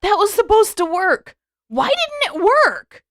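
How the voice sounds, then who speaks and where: A woman's voice: very high-pitched, very animated, measured pace, fairly bright tone, no echo, voice pushed up, full character voice. Blunt exasperated teen boy, woman narrator doing him, storybook style, dry close audio. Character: teenage boy, loud, exasperated, blunt older brother. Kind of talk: dramatic audiobook character reading.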